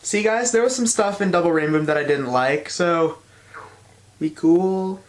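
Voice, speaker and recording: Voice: mid-range voice, unhurried, soft background music. A reviewer giving blunt, opinionated scripted commentary with a sardonic manner. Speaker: reviewer, host of an online review show.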